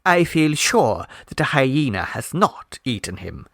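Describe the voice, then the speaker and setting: A man's voice: medium pitch, sing-song, unhurried, fairly bright tone, no room sound, clean studio sound, heavily acted. A man voicing an earnest, defiant lady neighbour in a storybook, self-righteous and insistent. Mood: lame.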